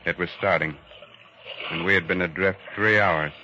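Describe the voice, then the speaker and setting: An actor playing an earnest, reflective ship's doctor, narrating solemnly and deliberately in a 1940s radio drama. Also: male voice, low-pitched, fairly even intonation, background noise, lightly acted. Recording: muffled vintage 1940s radio recording.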